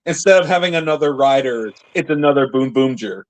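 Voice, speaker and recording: A man's voice: medium pitch, animated, a little fast, low-quality recording. A man co-hosting a podcast, chatting casually. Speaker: podcast co-host. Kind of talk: casual chat.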